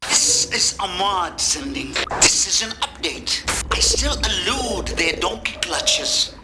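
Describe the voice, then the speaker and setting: Brief: a slow, cautious informant in hiding, lively pitch, drowned in loud music. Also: male voice, exaggerated voice. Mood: wary. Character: hiding male informant sending a radio update. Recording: loud music over a distant voice.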